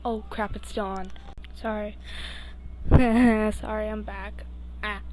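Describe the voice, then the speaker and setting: A woman's voice: mid-range voice, flat delivery, slow, darkish tone, a little hiss or hum, rough recording. A young woman reading fan fiction aloud informally, stumbling over words and breaking off into asides. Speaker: amateur podfic reader.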